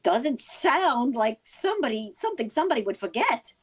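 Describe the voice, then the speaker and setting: A woman's voice: fairly high pitch, sing-song, moderate pace, breathy edge. A woman playing a concerned, persistent housewife in a vintage-style radio play, earnest and inquisitive. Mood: worried, insistent. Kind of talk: vintage radio drama acting.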